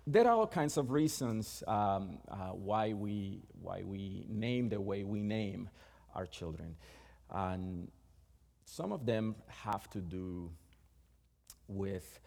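A middle-aged man from Puerto Rico with a Puerto Rican accent, Spanish being his first language, preaching a sermon in a halting way with many restarts. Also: fairly low pitch, gravelly, in a large hall.

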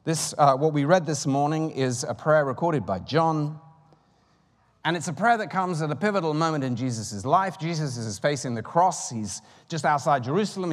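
A man's voice: on the high side, long reverb tail. A man in his fifties preaching a sermon in a chatty, self-deprecating way, with rambling asides. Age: 50s.

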